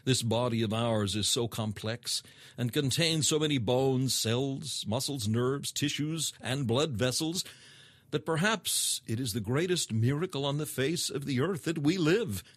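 A man reading a scripted sermon as audiobook narration in a formal, measured, emphatic manner. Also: mid-range voice, no echo. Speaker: sermon reader.

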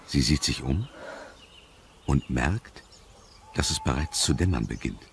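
A man narrating a scripted audio drama in a measured, suspenseful manner. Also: extremely low pitch, lively intonation, vocal fry, a little hiss or hum. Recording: music under the voice.

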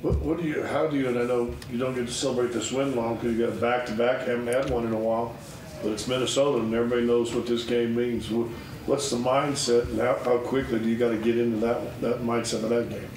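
Fast-talking man, echoey and off-mic. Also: medium pitch, flat delivery, darkish tone. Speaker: sports reporter. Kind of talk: spontaneous press conference question. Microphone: off-mic from across the room. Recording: echoey, distant, faint noise.

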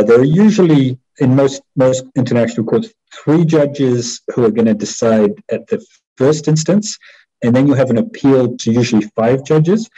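A middle-aged man with a New Zealand accent, explaining as an interview guest in a measured, hesitant way over a headset on a video call. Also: medium pitch, warm tone, breathy edge. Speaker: interview guest, war crimes prosecutor. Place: small indoor room at home. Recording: dull video-call audio.

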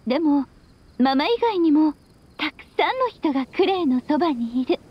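A woman's voice: sits high, big pitch swings, moderate pace, dull audio. A woman playing a playful, loving, long-lived witch mother, speaking tenderly to her child like a storyteller in an anime game. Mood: wistful, loving. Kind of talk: Japanese anime game voice acting. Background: background music.

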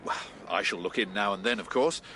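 An actor playing a breezy Victorian gentleman friend in a radio drama, with a jovial, blustering English manner. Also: fairly low pitch, fairly quick, a little hiss or hum. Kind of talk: period radio drama acting.

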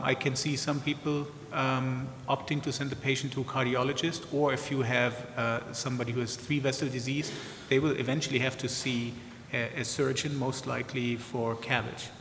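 A man's voice: medium pitch, steady tone, moderate pace, vocal fry, fairly bright tone, a little hiss or hum. A man, a cardiologist on a conference panel, answering with many uhs and an uneven pace. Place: large conference ballroom. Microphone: table microphone. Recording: echoey hall with long reverb.

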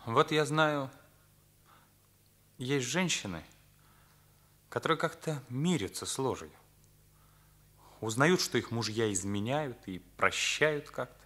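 An earnest teenage son, bright forceful voice. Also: male voice, medium pitch, lively intonation, slow, long pauses. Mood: tender, probing. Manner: accusing, emphatic, morally rigid. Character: earnest, indignant teenage son confronting his parents. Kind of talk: Soviet radio play acting.

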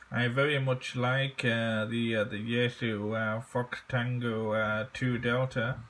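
A man making an amateur radio contact, courteous and halting, often repeating his phrases. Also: medium pitch, a bit bright. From England.

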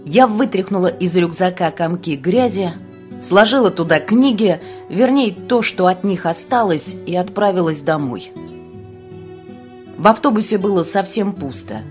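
A woman narrating an audiobook in a dramatic first-person style, expressive, with deliberate pauses. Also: on the low side, soft background music.

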